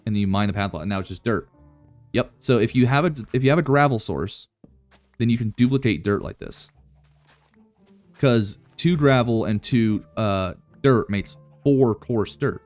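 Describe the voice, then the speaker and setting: A man's voice: on the low side, expressive, normal speed, darkish tone, soft background music. A man giving casual, rambling gameplay commentary and answering chat, joking about himself and then boasting. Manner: rambling, self-deprecating, playfully cocky. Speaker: Minecraft YouTuber.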